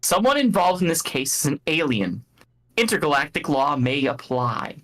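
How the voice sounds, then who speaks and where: A man with a fairly high voice and very animated intonation acts as game master. He improvises casual, playful narration for a comedy tabletop game, encouraging the players as he goes. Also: moderate pace.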